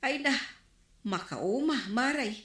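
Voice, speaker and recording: A woman's voice: high-pitched, lively intonation, slow pace, a bit bright, reverberant, heavily acted. A woman acting a talkative neighborhood store owner who gossips about neighbors, in a radio drama. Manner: chatty, gossiping.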